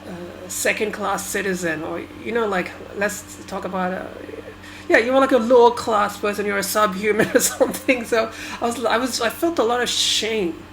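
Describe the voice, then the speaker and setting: A woman from Sri Lanka whose first language is Tamil, talking through her thoughts in a halting, hesitant way with many restarts. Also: medium pitch, a bit bright, slight background noise, poor audio. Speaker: vlogger. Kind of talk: personal reflective monologue.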